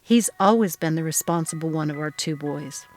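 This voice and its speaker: Low-pitched woman reading a story. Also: moderate pace, a little mellow, faint music behind. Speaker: story narrator. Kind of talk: dramatic reading of text-message story, acted dialogue.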